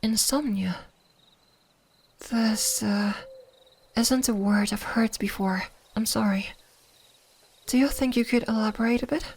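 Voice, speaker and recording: A woman playing a gentle, curious kitsune fox spirit in an ASMR roleplay, speaking softly, soothingly and a little hesitantly. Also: a little high, fairly even intonation, unhurried, pauses often, slightly rough. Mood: curious, caring. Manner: soft-spoken, soothing, hesitant, at times whispering.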